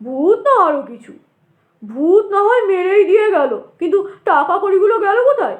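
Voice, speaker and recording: A loud, excitable station master played by a woman in an audio drama, high in pitch and ringing in a roomy echo. He is chatty and blustery, a short stout man who scoffs freely and insists on his point. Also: normal speed, phone-quality sound. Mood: loud dismissive. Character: short stout talkative middle-aged male station master, excitable, sceptical. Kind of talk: dramatized audio story dialogue.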